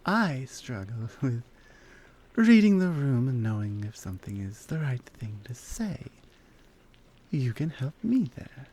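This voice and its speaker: A woman voicing a flirty, confident lioness in an intimate, teasing ASMR roleplay, softly coaxing and often slipping toward a whisper. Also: rumbling low, sing-song, unhurried, exaggerated voice. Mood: earnest, persuasive. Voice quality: soft, breathy. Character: flirty, confident, forward adult lioness armorsmith.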